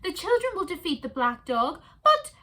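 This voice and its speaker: Woman doing a squeaky gushing meerkat. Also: very animated, moderate pace, a bit bright, exaggerated voice. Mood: excited. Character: excitable lovestruck male meerkat.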